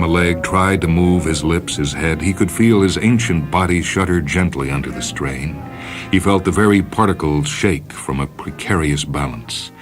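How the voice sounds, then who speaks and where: A man reading a science fiction story as narrator, in a solemn, measured dramatic style. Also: extremely low pitch. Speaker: narrator, short story reader. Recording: music under the voice. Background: music.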